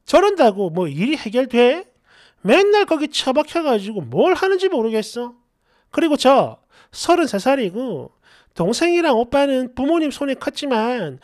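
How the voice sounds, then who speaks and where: A man's voice: extremely high pitch, a little mellow, no echo, clean studio sound. A middle-aged man hosting a story channel, reading a first-person story aloud in a colloquial, exasperated, dramatized way with asides.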